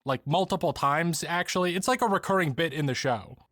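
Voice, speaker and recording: A man's voice: on the high side, a little fast, a bit bright, no echo, clean studio sound. A man reading scripted narration for a video essay.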